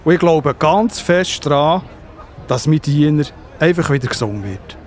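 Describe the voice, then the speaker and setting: A man's voice: on the high side, expressive, a little slow. A man playing a humble, worried Roman centurion in a children's audio play. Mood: earnest trust. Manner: earnest, pleading.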